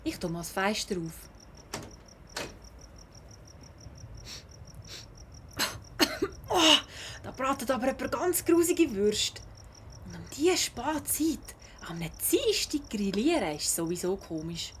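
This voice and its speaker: Lively Swiss German schoolgirl sleuth. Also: on the high side, unhurried, a little hiss or hum. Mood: puzzled. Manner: expressive, inquisitive. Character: curious, feisty schoolgirl, a cat, amateur detective. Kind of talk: Swiss children's audio drama.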